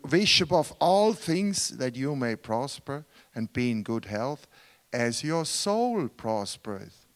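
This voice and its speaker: A man with a Swiss German accent, a guest preacher, earnest and rhetorical, often asking the congregation questions. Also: medium pitch. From Switzerland. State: tired from travel. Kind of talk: sermon.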